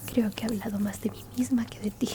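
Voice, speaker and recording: A woman's voice: fairly high pitch, fairly even intonation, noisy background, lightly acted. A woman playing a kindly old alien grandmother in a whispered ASMR roleplay, tenderly and unhurriedly comforting a lost human. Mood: soothing, wise. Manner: tender, unhurried, reassuring, near-constant whisper.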